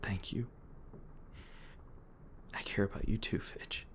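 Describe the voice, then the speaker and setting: A man playing a gruff, guilt-ridden monster hunter with a tender side, speaking haltingly and emotionally in an intimate audio roleplay. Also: natural voice. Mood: soft, tender. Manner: halting, stammering, emotional, swearing. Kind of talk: intimate dramatic audio roleplay addressed to listener. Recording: noticeable background noise and ambience.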